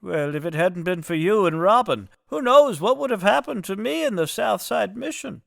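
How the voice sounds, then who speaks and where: An actor playing a kindly, grateful doctor in an earnest, melodramatic old-time radio parody. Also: male voice, high voice, animated, normal speed, creaky, a bit bright, no echo, studio quality, heavily acted.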